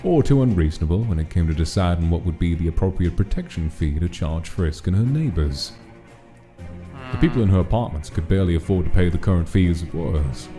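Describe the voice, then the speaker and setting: A man reading scripted story narration as the narrator, with soft music behind him. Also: sits low, lively intonation, a little fast.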